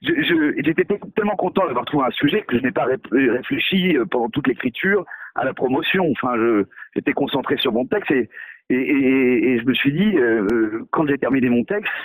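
A man's voice: mid-range voice, expressive, a little fast, dry recording, poor audio. A male novelist in a radio interview, talking hesitantly with many fillers and restarts, mocking himself. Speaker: novelist guest.

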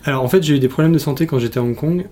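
A man's voice: fairly high pitch, very fast, a little mellow. A man guest explaining his experience in a hesitant way with many fillers. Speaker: interview guest. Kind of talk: casual testimony.

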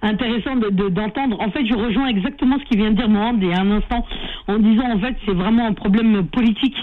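Radio caller over a thin phone line, talks fast, keeps saying en fait. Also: mid-range voice. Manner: says "en fait" constantly. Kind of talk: call-in opinion.

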